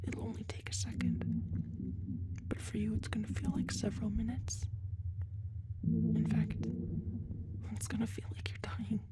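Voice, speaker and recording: A woman voicing a giant female alien in a whispered audio roleplay, breathy and intimate, predatory and softly menacing. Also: on the high side, natural voice.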